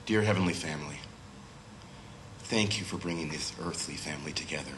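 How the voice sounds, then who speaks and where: A man's voice: extremely low pitch, a little fast, noisy background. A man in his thirties with a cold, sounding a little nasal, giving an earnest, slightly nervous talk and prayer from a church pulpit. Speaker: guest speaker. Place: church sanctuary at a pulpit, indoors. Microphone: far camera with pulpit microphone. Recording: roomy echo of a church hall.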